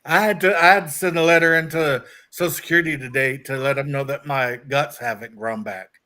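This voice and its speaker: A man's voice: medium pitch, fairly quick, pushed and bright. A man in a casual group chat, explaining things in a plain, matter-of-fact way.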